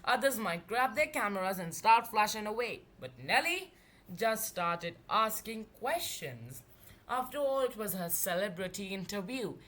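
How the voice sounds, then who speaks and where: A woman reading a children's book aloud as an expressive storyteller. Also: mid-range voice, unhurried.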